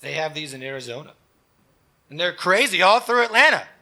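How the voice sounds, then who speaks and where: A man's voice: a little high, very animated, fairly quick, sharp tone. A man co-hosting a live comedy show, reading comments with dramatic, exaggerated delivery. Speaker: comedy show co-host and performer.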